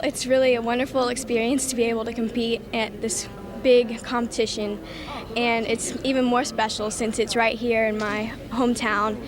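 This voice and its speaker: Young woman, athlete interview, high voice, very even intonation, crowd behind. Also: normal speed. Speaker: gymnast being interviewed. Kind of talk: interview answer.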